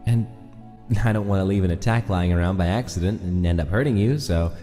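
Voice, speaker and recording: A low-voiced, laid-back young skeleton played by a man, guarded and protective, speaking with casual, understated hesitation. The comic-dub voice sits in long reverb with clear music behind. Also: a little fast, mellow, lightly acted. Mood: guarded, low-key. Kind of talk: dramatic comic dub acting.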